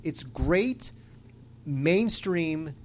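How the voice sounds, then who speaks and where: Higher-voiced man chatting about films. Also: lively intonation, very slow, slight background noise. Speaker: podcast co-host reviewing films. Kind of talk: casual film review chat.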